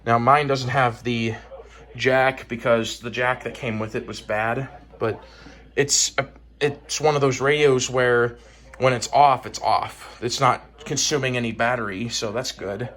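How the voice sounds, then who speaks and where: A man's voice: medium pitch, fairly even intonation, a little slow, a bit bright. A man giving a casual, unscripted show-and-tell as a hobbyist collector, in a hesitant, rambling, plain-spoken way. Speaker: hobbyist collector presenting weather radios.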